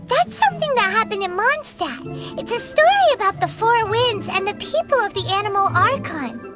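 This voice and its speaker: A woman voicing a tiny, eager, childlike fairy companion with a squeaky voice in a video game. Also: big pitch swings, normal speed. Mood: eager, earnest. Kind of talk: video game character dialogue. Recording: loud music behind the voice. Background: game music.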